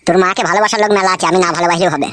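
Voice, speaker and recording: A man's voice: high voice, steady tone, very fast, fairly bright tone, a little hiss or hum. A man voicing a harried, foul-mouthed husband who wheedles and grumbles in a comic cartoon. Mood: irritated.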